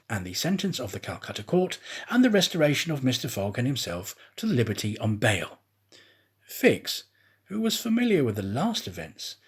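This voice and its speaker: Male audiobook narrator, expressive and dramatic, lively intonation, mid-pitched with some huskiness. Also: breathy edge. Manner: theatrical, expressive. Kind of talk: dramatic scripted storybook narration.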